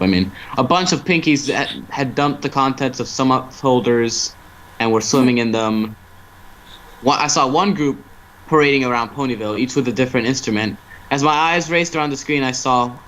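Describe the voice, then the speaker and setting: A man's voice: medium pitch, fairly bright tone, slight background noise, rough recording. A man reading a story aloud as an amateur narrator, stumbling over words and restarting phrases.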